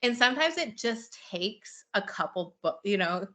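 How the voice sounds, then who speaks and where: Female podcast host, bright tone, mid pitch, chatty and enthusiastic casual interviewing. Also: expressive, normal speed. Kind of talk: casual book chat interview.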